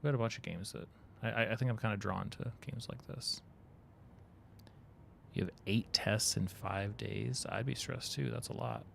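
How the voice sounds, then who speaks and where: Gravelly-voiced man streaming a game. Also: on the low side, moderate pace, a bit bright, a little hiss or hum. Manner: chatty, thinking aloud, drops to mutters. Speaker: streamer playing a video game. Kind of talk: casual live-stream commentary.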